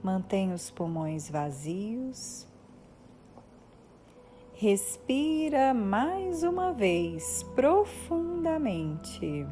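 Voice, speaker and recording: Brazilian female meditation guide; soothing and relaxed delivery, slow phrases with deliberate pauses. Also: medium pitch. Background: music.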